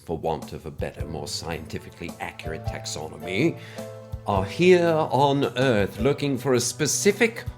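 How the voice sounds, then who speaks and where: A man playing a pompous, mysterious conspiracy theorist in a formal, verbose, pedantic scripted monologue. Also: mid-range voice. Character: pompous mysterious male conspiracy-theorist scientist on a cassette letter.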